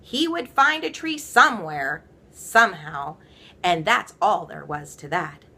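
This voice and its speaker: A woman reading a children's story aloud, with playful, theatrical emphasis and drawn-out words. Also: lowish voice, expressive, creaky, sharp tone, husky. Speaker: storybook reader. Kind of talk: animated children's read-aloud.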